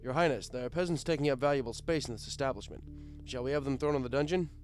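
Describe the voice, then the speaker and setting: A man's voice: mid-range voice, fast, faint music behind, lightly acted. Guy doing a male pony royal guard captain, stiff n formal, clipped military talk, fantasy audiobook. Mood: officious.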